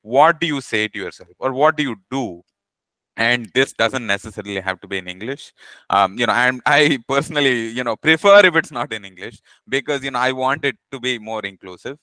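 Male podcast host; bright, crisp tone, dry mic, measured delivery. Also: medium pitch, animated, no echo. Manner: measured, many "you know" fillers. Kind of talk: interview podcast conversation.

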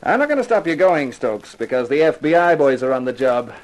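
A man's voice: mid-range voice, big pitch swings, a little fast, natural voice, rough recording. A man acting a cocky, sardonic private detective with flippant, slangy talk in a 1950s radio crime drama. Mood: knowing, accusing.

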